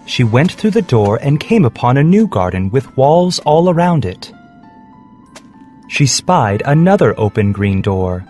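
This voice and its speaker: A male storyteller reads a scripted children's tale. His medium-pitched voice rises and falls expressively, with faint music underneath. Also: a little mellow. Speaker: narrator.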